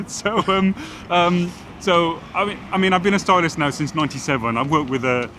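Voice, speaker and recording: A chatty, self-assured man with a Welsh accent that carries a Geordie tinge, his voice sitting a touch high for a man. He is recorded on a phone outdoors, with traffic and city noise clearly audible behind him. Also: fairly even intonation, moderate pace, pushed and bright. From Wales. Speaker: celebrity fashion stylist. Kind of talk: casual on-the-street chat. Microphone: phone camera held by the other person.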